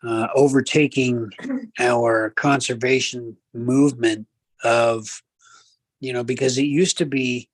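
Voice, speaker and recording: Radio cohost guy, average pitch, casual commentary, measured n a bit preachy to the folks listening, dry studio-like sound. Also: steady tone, no echo, poor audio. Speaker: radio show co-host. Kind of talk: casual talk show commentary.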